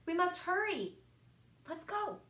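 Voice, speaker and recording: Woman voicing an excitable little girl penguin, echoey. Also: very high voice, takes it slowly, voice pushed up, full character voice. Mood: alarmed. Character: plucky excitable young female penguin, big sister. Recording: echoey room.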